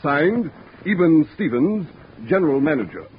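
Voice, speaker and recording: A man's voice: a little high, very animated, moderate pace, loud music behind the voice. An actor playing a fussy, formal male company manager dictating a letter in a stiff, officious way, in 1940s radio style. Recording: dull, noisy vintage radio recording.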